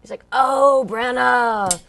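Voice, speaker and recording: A woman's voice: fairly high pitch, slow pace, creaky, fairly bright tone. A young woman from Long Beach, California, a punk band singer telling her story in an interview, chatty and blunt, full of "like" and "um". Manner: blunt, slangy, swears casually.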